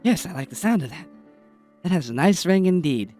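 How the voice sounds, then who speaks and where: A man playing a deferential but quietly treacherous aide in an absurdist audio drama, measured, formal and unruffled. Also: a little high, animated, soft background music, natural voice. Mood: smug, musing. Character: deferential male aide, smoothly calm, quietly treacherous and ambitious.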